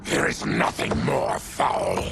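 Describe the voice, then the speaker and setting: A man playing a fierce but honorable monstrous warrior in a video game, with a growling, gravelly voice and a blunt manner. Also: slow, close to an everyday voice. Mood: disgusted. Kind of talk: video game cutscene acting. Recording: loud music behind the voice.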